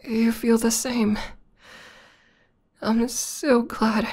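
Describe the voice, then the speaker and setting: A woman playing a tender, lovestruck tomboy demoness in a romantic ASMR roleplay, speaking softly and haltingly with half-whispers. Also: a little high, flat delivery, exaggerated voice. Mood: happy, adoring. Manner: soft, halting, breathy half-whispers with emotional stammers. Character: tender, lovestruck tomboy demoness, millennia old, newly proposing.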